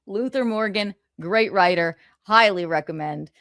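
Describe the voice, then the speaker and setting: A woman's voice: mid-range voice, a little slow, a bit bright, no room sound, studio quality. A woman livestreaming, switching between casual chat and reading book passages aloud, with gloating, sarcastic, theatrical emphasis. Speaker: streamer.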